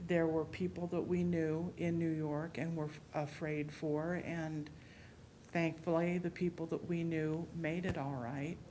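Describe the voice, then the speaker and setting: A woman's voice: measured pace, a little hiss or hum, rough recording. A middle-aged woman in an audience, speaking earnestly and with emotion about her memories. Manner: earnest, emotional, low and even. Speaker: audience member. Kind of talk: personal reflection at a public talk. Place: public library meeting room.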